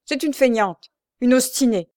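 A woman voicing a harsh, coarse old beggar mother from a story, shrill and snarling in her lower-class speech. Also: expressive, normal speed, a little mellow, no room sound, clean studio sound, lightly acted. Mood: peevish. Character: shrewish old beggar mother, toothless, harsh, brandy-soaked.

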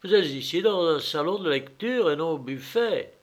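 A man's voice: a little high, expressive, moderate pace, voice pushed up, lightly acted. Man doing a fussy scolding reader. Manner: prim, scolding. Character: fussy bespectacled older male reader.

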